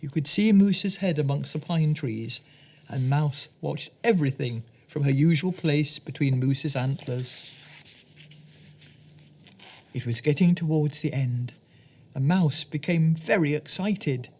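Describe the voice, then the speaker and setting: Slow, deliberate woman storyteller. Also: low voice, a little mellow. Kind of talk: scripted children's story narration.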